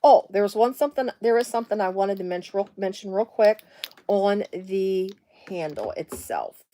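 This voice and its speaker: A woman's voice: mid-range voice, lively intonation, normal speed, dark tone, no room sound. A middle-aged woman, hosting a casual live sewing demonstration from her home sewing room and rambling into side stories with lots of fillers. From Midwestern US. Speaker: sewing tutorial host.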